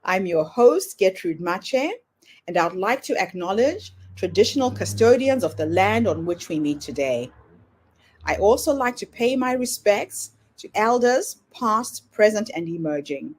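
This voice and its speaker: A woman hosting a podcast, reading a scripted welcome and then greeting her guest in a measured, welcoming way. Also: mid-range voice, big pitch swings, darkish tone, slight background noise. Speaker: podcast host. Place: Melbourne, Australia.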